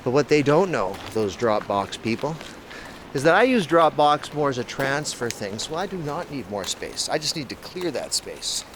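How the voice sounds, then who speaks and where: A man from Canada rambles to his phone while outdoors, circling back and restarting his sentences as he thinks aloud. The recording carries a clear hiss along with the sounds of the street. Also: mid-range voice, very animated, moderate pace. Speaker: vlogger. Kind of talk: casual outdoor talk to camera.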